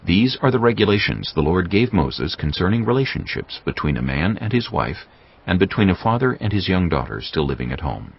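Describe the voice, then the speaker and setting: A man's voice: deep voice, big pitch swings, brisk, creaky, breathy edge, highs cut off. A man narrating scripture from a script as the narrator of a dramatised reading. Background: music.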